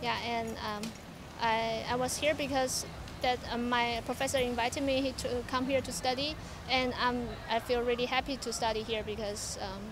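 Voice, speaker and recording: A woman's voice: on the high side, little pitch movement, normal speed, hardly any pauses. A woman with a Taiwanese accent giving a testimonial as a student. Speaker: mathematics student. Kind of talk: on-camera testimonial. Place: outdoors among greenery and bicycles. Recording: noisy outdoor ambience.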